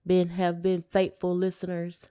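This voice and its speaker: A woman's voice: lowish voice, completely flat delivery, measured pace, dark tone, clean tone. A woman co-hosting a podcast, talking in casual conversational style. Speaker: podcast co-host.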